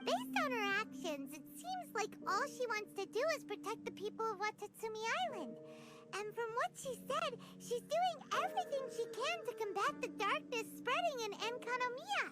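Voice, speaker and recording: A woman voicing a tiny, excitable female fairy companion who talks about herself in the third person, over game music. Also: extremely high pitch, normal speed. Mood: thoughtful.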